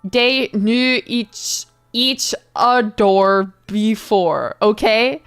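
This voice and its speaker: Female streamer for comic fan fiction reading, unhurried, giggly, prone to stumbles, faint music underneath. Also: fairly high pitch, clear, resonant tone. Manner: giggly, stumbles over the text. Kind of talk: comedic dramatic reading with casual asides.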